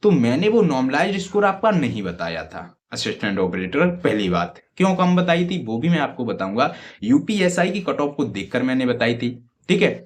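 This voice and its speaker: Guy, mid pitch, talking straight to students, emphatic, keeps checking they get it. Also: sing-song, moderate pace. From Uttar Pradesh, India. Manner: emphatic, repetitive, rhetorical questions, frequent check-in tags. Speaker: exam-prep YouTube educator. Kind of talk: informal advice talk to students.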